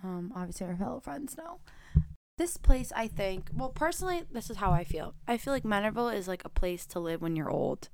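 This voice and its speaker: A woman's voice: medium pitch, lively intonation, fairly quick, creaky, a little mellow, slight background noise. A woman in her twenties from Long Island, hosting a podcast in casual, rambling chat full of "like" and the odd swear. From Long Island, New York. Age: 20s. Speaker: podcast host.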